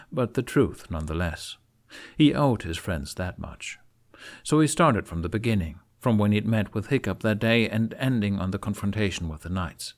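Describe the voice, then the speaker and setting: Guy with a low voice reading a fantasy story out loud, audiobook narration. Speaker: narrator reading fan fiction aloud.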